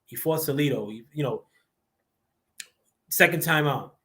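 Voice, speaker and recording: Casting: man with a squeaky, slightly high voice, livestream host, rambling profane rant, heavy on fillers. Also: measured pace, a bit bright. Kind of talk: casual boxing rant.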